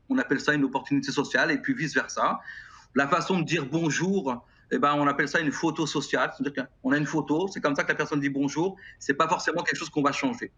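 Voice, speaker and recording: A middle-aged man with a French accent answers interview questions earnestly, halting and repeating words as he thinks. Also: a little high, sharp tone, slightly rough. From France. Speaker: guest, music educator. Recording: slightly dull broadcast sound.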